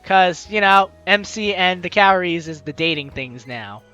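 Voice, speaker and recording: A man doing a casual let's-play, reading story text aloud with chatty, expressive asides. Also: high voice, measured pace, highs cut off. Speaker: game streamer. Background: game music.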